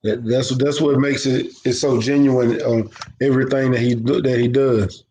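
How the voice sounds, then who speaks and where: Relaxed male host in an echoey room. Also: medium pitch, moderate pace, crowd noise. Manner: relaxed, friendly questioning with fillers. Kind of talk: casual interview chat.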